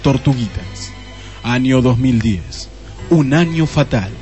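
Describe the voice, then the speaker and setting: An actor playing a grave, melodramatic narrator with an Argentine accent in a parody radio drama. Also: male voice, medium pitch, expressive, takes it slowly, a little mellow, soft background music. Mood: grave, portentous. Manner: mock-solemn, portentous.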